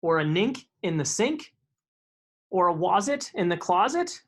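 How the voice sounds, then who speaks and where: A man's voice: sits high, sing-song, a little slow. A man reading children's picture books aloud in a live storytime, with informal asides to his young listeners.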